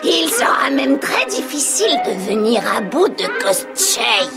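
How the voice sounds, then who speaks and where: A woman's voice: high-pitched, very animated, a little slow, loud music behind the voice, exaggerated voice. A woman playing a cunning, gleeful, vengeful old witch in a fairy-tale cartoon, with a theatrical, exclamatory delivery. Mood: conspiratorial, dramatic.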